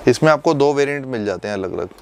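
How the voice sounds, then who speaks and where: A man in his thirties, a shopkeeper politely pitching perfumes and prices, calling the host sir. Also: fast, gravelly, noisy background. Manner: polite, calls the host sir, steady salesman. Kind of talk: sales pitch. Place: indoor perfume shop.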